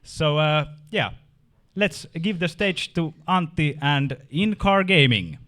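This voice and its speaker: A man's voice: a little high, lively intonation, slow pace, fairly bright tone. A man hosting a game industry meetup, introducing the speaker with many restarts and fillers. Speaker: meetup host.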